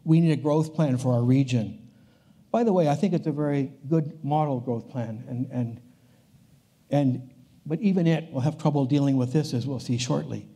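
Older man with a dark, mellow voice. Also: mid-range voice, moderate pace, breathy edge. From Canada. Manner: conversational, restarts phrases, walks the stage. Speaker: keynote speaker. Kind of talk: conference keynote lecture. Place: large conference hall stage.

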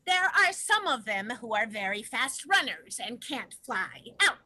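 A woman's voice: a little high, very animated, unhurried, pushed and bright, heavily acted. A woman playing a proud, exasperated condor, emphatic and declaiming, in a play reading over a video call. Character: proud, exasperated condor, a huge flying bird. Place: room at home.

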